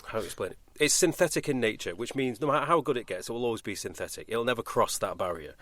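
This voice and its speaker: A man's voice: mid-range voice, lively intonation, fast talker, fairly bright tone. A man hosting a casual podcast, talking in a discursive way and often interrupting himself. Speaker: podcast host, voice actor.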